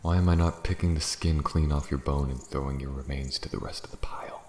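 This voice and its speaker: An extremely low-pitched dragon, acted by a man, proud and bitter, speaks in a fantasy roleplay. He broods and sneers theatrically, often sinking into a hushed, breathy murmur. The sound is roomy and echoing, with faint noise behind it. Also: fairly even intonation, brisk. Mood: bitter, menacing. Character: proud, bitter male dragon, last of an ancient race. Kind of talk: fantasy ASMR roleplay monologue.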